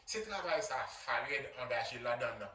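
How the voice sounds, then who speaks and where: A man's voice: mid-range voice, expressive, moderate pace. A man with a Haitian accent, a doctor giving an earnest, emphatic motivational video message to his community. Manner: earnest and emphatic, rising loudly on key points. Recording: roomy echo, distant mic, faint background noise.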